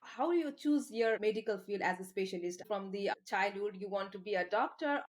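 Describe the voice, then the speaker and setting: A woman from Nepal with a Nepali accent hosts a casual podcast interview, phrasing her questions in a halting, hesitant way. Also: mid-range voice, animated. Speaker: podcast host.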